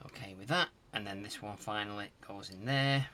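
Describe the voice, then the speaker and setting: Low-pitched man muttering, noisy recording. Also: moderate pace, bright, forceful tone. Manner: muttering to himself while working, in short fragments. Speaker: host of a retro tech repair channel. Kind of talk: casual hands-on repair commentary.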